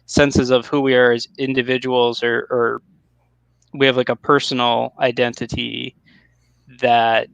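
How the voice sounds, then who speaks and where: A man's voice: mid-range voice, gravelly, a bit bright, clean tone, no room sound, poor audio. A man co-hosting a live video conversation, musing loosely about identity and oneness. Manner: musing, rambling. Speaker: co-host. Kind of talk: casual live-stream chat.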